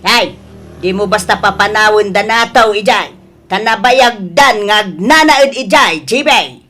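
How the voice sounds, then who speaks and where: A woman playing an emotional, stubborn elderly mother and grandmother in an Ilocano audio drama, with a Filipino accent and drawn-out, emphatic delivery. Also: mid-range voice, fairly bright tone. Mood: insistent. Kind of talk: melodramatic radio drama acting.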